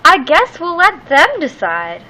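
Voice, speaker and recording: A woman's voice: very high-pitched, huge pitch swings, measured pace, sharp tone, pitched above the performer's own voice, heavily acted. A woman voicing an uneasy but practical mother bulldog in a storybook, speaking earnestly and with emphasis. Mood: resigned.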